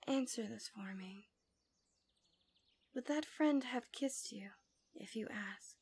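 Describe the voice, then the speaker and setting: A woman playing a manipulative villainess in an ASMR roleplay, tenderly soothing her captive in a gentle, coaxing, breathy voice that slips into whispers. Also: fairly high pitch, slow, full character voice. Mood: seductive, possessive devotion. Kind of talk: ASMR roleplay, intimate soft speech slipping into whispers.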